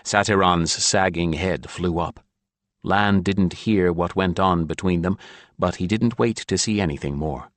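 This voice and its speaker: A man's voice: low voice, a little slow, no room sound. A man narrating a fantasy audiobook in a scripted storytelling style.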